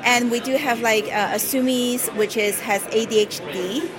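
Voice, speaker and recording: Woman from Hong Kong, creative director, chatty and high-ish, noisy crowd behind. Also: fairly even intonation, normal speed. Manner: chatty, lots of "like" and "you know" fillers. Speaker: creative director of a toy company. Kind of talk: casual interview.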